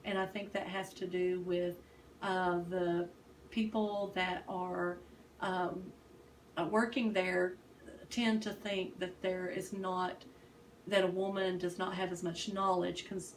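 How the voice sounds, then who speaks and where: A woman from Texas, answering interview questions in a measured, thoughtful way. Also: on the low side, flat delivery. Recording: distant mic, faint noise.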